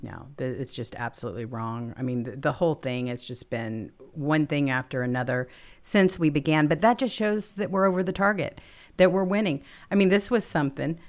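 A woman's voice: sits low, a little fast. A woman co-hosting a political talk show, speaking in an emphatic, assertive way. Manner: emphatic, agreeing, building to raised excitement. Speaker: co-host.

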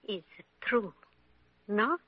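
Slow, wistful ailing Italian mother. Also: medium pitch, lively intonation, breathy edge, dry recording, exaggerated voice. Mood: resigned, tender. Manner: slow, tender broken English. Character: gentle ailing middle-aged Italian mother, wistful, loving. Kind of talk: old-time radio drama acting. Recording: muffled old radio recording.

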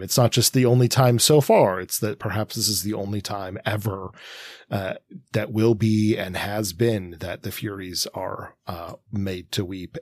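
A man co-hosting a podcast, explaining his reading of a story in a measured, analytical, conversational way. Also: fairly low pitch, a little mellow, husky, dry recording. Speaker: podcast co-host. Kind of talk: conversational literary discussion of a comic.

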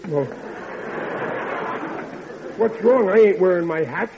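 A blustery henpecked working stiff, stammers a lot, old radio comedy, muffled and noisy. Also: male voice, sits high, slow pace, a crowd in the background. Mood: blustering. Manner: flustered, blustery, uneducated colloquial speech. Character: blustering, panicky working-class married man, henpecked and bumbling. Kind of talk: 1940s radio comedy acting.

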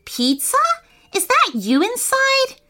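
A woman's voice: very high-pitched, big pitch swings, slow pace, faint music behind, pitched above the performer's own voice, exaggerated voice. A woman voicing a timid, apologetic boy who is easily hurt, in a children's story. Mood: worried. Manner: soft-hearted, easily hurt.

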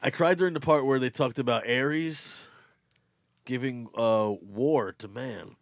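A man's voice: mid-range voice, unhurried, no room sound, clean studio sound. A man chatting casually and with strong opinions as a panelist, swearing freely and repeating himself for emphasis. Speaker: talk show panelist. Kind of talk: casual opinionated group chat.

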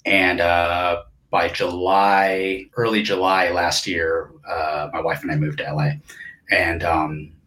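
Relaxed man in his forties, lowish voice. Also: takes it slowly. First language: Japanese. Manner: relaxed, rambling with fillers and restarts. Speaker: guest, session percussionist. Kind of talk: casual interview chat.

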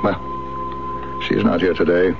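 Somber doctor in mourning, deep and subdued, 1970s radio drama, muffled recording. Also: male voice, steady tone, takes it slowly, vocal fry, exaggerated voice. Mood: somber, reflective. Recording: dull 1970s radio recording, music behind.

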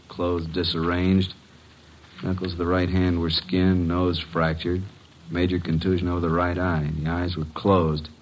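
Deep-voiced wisecracking radio detective sidekick played by an actor. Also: male voice, a little hiss or hum, lightly acted, rough recording. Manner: brisk, slangy, wisecracking. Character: breezy, wisecracking tough young male detective's assistant. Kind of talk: old-time radio detective drama acting.

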